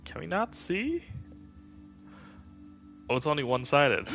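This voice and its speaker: A man streaming a video game, chatting in a relaxed way to himself while he plays. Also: on the high side, a little slow, vocal fry, faint music behind. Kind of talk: casual gameplay commentary.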